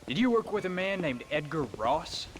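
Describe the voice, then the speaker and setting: An actor playing a polite, determined young American cowboy in a game, speaking plainly. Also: male voice, a little high, sing-song, normal speed, poor audio. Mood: polite, purposeful. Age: young adult. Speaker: video game character. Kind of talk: game cutscene dialogue.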